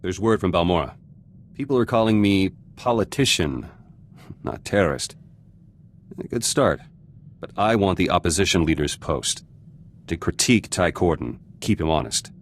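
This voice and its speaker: An actor playing a gruff, guarded revolutionary turned politician in a video game, speaking in blunt, clipped fragments. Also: male voice, low voice, lively intonation, moderate pace, pauses often, a little hiss or hum. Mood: calculating, dry. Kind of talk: video game character dialogue.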